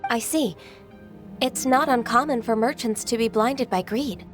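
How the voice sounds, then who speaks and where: An actress playing a dutiful, modest secretary in a video game, formal, composed and deferential. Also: female voice, a little high, a little slow, over music, close to an everyday voice. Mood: composed, helpful. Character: polite, modest, dutiful young-sounding woman secretary.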